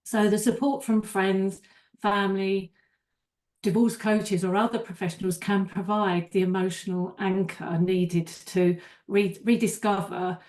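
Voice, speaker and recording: A woman's voice: medium pitch, level tone, a little slow, darkish tone. An older woman, a divorce coach, giving an earnest webinar presentation with small restarts.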